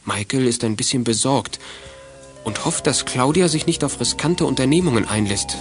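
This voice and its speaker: A man's voice: medium pitch, moderate pace, faint music behind. A man narrating a children's audio play in a scripted storytelling style.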